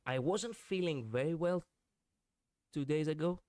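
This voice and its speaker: A man's voice: a little high, a little slow, a little mellow, dry recording. Man with a cold, chatty stream greeting. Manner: chatty, joking about feeling rough. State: has a cold. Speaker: VTuber streamer.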